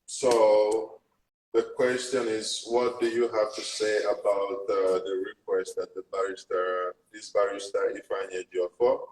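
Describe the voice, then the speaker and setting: A man interviewing a guest, asking questions haltingly with frequent filler pauses. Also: lowish voice, flat delivery, a little mellow, recorded from a distance, rough recording. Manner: hesitant, many filler pauses.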